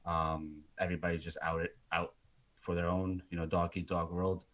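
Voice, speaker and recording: A man's voice: low voice, level tone, moderate pace. A man with a British accent, a filmmaker co-hosting a podcast, speaking earnestly and casually with fillers. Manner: joking, then earnest and quieter. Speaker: filmmaker co-host.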